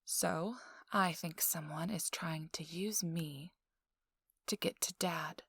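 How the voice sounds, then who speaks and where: Feisty young socialite played by a woman for ASMR audio drama: lowish, creaky, sly, self-assured, close and intimate. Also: a bit bright, dry recording, exaggerated voice. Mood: wry, confiding. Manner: slow, teasing, dry sarcasm, drifting into whispers.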